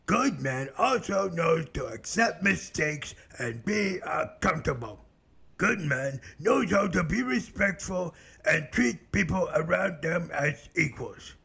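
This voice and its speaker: A man's voice: on the high side, expressive, takes it slowly, creaky, bright, forceful tone, husky, heavily acted. A man voicing a simple, earnest brute in a web cartoon, speaking broken English in the third person. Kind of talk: scripted character acting in web animation.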